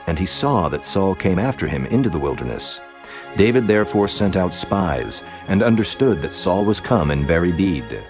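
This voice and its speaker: A man giving scripted Bible narration as a solemn, measured storyteller. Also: sits low, lo-fi sound.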